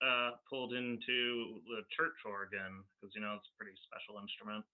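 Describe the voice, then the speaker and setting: A man's voice: fairly low pitch, fairly even intonation, normal speed. A man speaking casually as a host and interviewer. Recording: tinny, narrow phone-like call audio.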